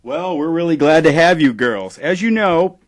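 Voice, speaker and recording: An actor playing a glib, self-satisfied radio interview host in a satirical audio drama. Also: male voice, on the high side, animated, moderate pace, dry recording, natural voice. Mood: upbeat.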